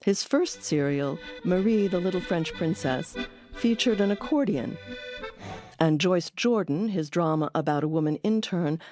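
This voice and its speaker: A woman's voice: lowish voice, expressive, measured pace, a little mellow, soft background music. A woman hosting and narrating a documentary from a script.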